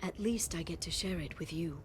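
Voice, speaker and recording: Actress doing a soft earnest brainy alien lady in a video game, slightly low, bit of background noise. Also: brisk, natural voice. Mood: earnest, absorbed. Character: gentle, scholarly alien woman, idealistic information broker.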